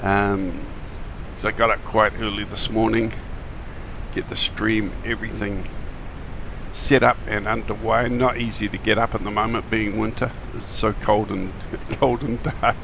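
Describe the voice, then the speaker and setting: Croaky New man streaming flight sim. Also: lowish voice, level tone, unhurried, background noise. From New Zealand. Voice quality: gravelly. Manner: chatty, friendly, many fillers and restarts. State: croaky morning voice. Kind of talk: casual live-stream chat.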